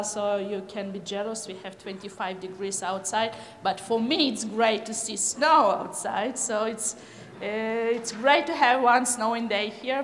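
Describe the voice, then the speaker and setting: A middle-aged woman from Kyrgyzstan giving a conference talk, hesitant with many fillers and non-native phrasing, recorded in a large lecture hall. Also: fairly high pitch. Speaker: conference speaker. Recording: echoey hall with reverb, faint noise.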